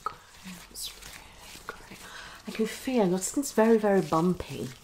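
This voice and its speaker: A woman in her thirties doing an ASMR roleplay as a dermatologist, slipping between whispers and soft speech with reassuring clinical patter. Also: mid-range voice, lively intonation, normal speed, slight background noise, rough recording. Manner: hasty, reassuring clinical patter addressed to a patient. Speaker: ASMR performer playing a dermatologist. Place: small living room at home, indoors.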